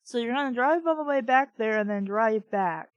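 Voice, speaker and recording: A woman's voice: fairly high pitch, normal speed, no echo, lo-fi sound. A woman reading a story aloud and breaking in with blunt, exasperated, sarcastic commentary full of swearing. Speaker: host of a bad-fiction reading show.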